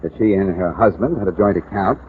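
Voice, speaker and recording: An actor playing a confident, wisecracking amateur sleuth in a glib, theatrical 1940s radio detective style. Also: male voice, deep voice, a little fast, close to an everyday voice. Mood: glib, bantering. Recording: dull, noisy vintage 1940s radio recording.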